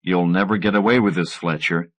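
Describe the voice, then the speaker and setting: Pompous male professor, voiced by a male narrator: cold, dismissive, sarcastic, lowish voice, audiobook dialogue. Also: steady tone, a little fast. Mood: mocking, indignant.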